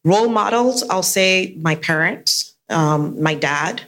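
A woman from Nigeria telling personal stories as a panelist, speaking with self-assurance and deliberate emphasis. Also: on the low side, animated, a bit bright.

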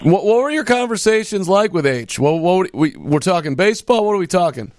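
A man's voice: sits high, very animated, a little fast, darkish tone, no echo. A man hosting a radio show, interviewing a guest in a conversational style.

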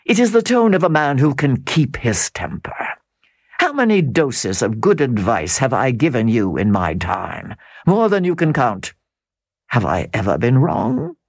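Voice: mid-range voice, very animated, a little slow, no room sound, studio quality. A reader putting on a suave, scheming count. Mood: contemptuous. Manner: smooth, condescending. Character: suave scheming middle-aged male count.

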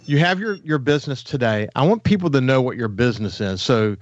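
A man's voice: mid-range voice, lively intonation, a little fast, highs cut off. A man hosting a radio talk show, interviewing guests in an upbeat, chatty way and often restarting his words. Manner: enthusiastic, repeats words as he restarts.

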